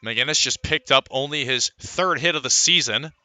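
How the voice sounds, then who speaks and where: A man's voice: medium pitch, moderate pace, sharp tone, dry recording. A man calling live baseball play-by-play in a radio commentary style, filling gaps with player statistics.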